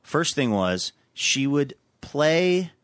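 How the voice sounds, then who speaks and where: A man's voice: a little high, very animated, extremely slow, fairly bright tone, dry recording. A man casually telling a wry childhood anecdote on a podcast. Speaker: podcast guest. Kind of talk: casual chat.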